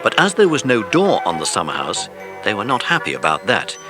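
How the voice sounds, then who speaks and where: A man's voice: fairly low pitch, wildly swooping pitch, a little fast, over music. A man reading scripted narration for a children's audiobook.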